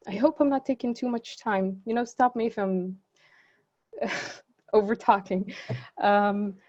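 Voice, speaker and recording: A woman's voice: medium pitch, fairly even intonation, a little slow, a little mellow, lo-fi sound. A young woman whose first language is Arabic, speaking in a webinar panel and reflecting earnestly with many fillers and restarts. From Israel. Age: young adult. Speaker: student panelist.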